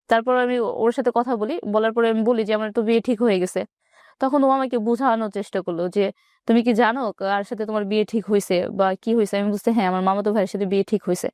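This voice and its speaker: Fast-talking Bangladeshi woman, dry close sound. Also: a little high, steady tone, darkish tone, no room sound. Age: 20s. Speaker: interview guest telling own life story. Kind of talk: personal storytelling in an interview.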